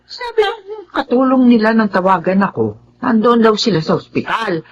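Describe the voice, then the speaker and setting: A woman's voice: on the high side, sing-song, normal speed, highs cut off, slight background noise. A woman playing an older housekeeper bringing grave news in a Filipino radio drama, dramatic and concerned.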